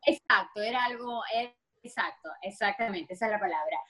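A woman's voice: mid-range voice, brisk, fairly bright tone. A middle-aged woman from Maracaibo, Venezuela, with a marked Maracaibo accent, chatting as a guest in an interview with many fillers and restarts. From Venezuela (Maracaibo, Zulia). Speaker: actress guest. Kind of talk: interview conversation.

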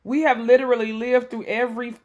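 A woman's voice: on the high side, flat delivery, brisk, a crowd in the background. A woman, hosting a frank, profane, laughing chat with friends. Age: 30s. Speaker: host. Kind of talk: casual chat.

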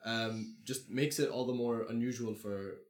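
A man giving his views in a casual group discussion, speaking in a measured way with frequent ums and restarts. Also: medium pitch, steady tone. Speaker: discussion participant. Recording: echoey room.

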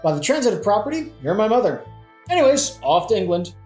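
A sardonic young prince played by an actor in a satirical audio play, mocking and theatrical. The pitch swoops widely, and the voice rings in a roomy space with music behind. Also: very animated, fast talker, a little mellow, lightly acted. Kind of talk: modern-language satirical audio play acting.

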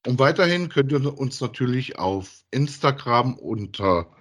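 A man's voice: mid-range voice, lively intonation, measured pace, a bit bright. A man, chatting casually as a podcast co-host about audio dramas.

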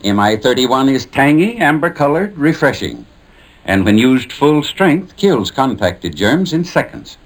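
A male announcer with a medium-pitched voice and very animated intonation. He delivers a scripted vintage radio advert in a polished, emphatic sales style. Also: a little slow, poor audio. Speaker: commercial announcer. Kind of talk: 1950s radio advertising read.

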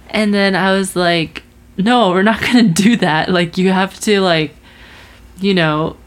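A woman in her twenties chatting casually about her resolutions, telling a story with lots of fillers and restarts. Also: mid-range voice. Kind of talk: casual chat.

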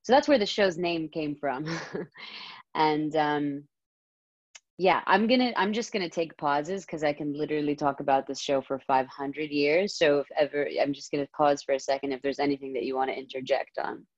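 A woman's voice: deep voice, normal speed, no room sound, muffled recording. A woman from Saudi Arabia, a guest talking expressively and at length in a casual interview over a video call. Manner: expressive, talkative, laughs often. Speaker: guest, comedy series creator.

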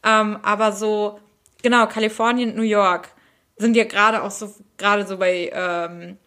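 A woman's voice: mid-range voice, little pitch movement, measured pace, sharp tone. A woman in casual conversation who reacts with short agreements and thinks aloud. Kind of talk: casual chat.

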